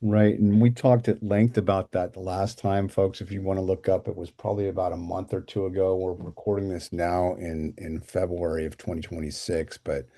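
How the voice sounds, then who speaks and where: A man's voice: sits low, flat delivery, normal speed, few pauses, warm tone. A middle-aged man hosting a casual interview show, rambling a little with fillers and repeated words. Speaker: podcast host.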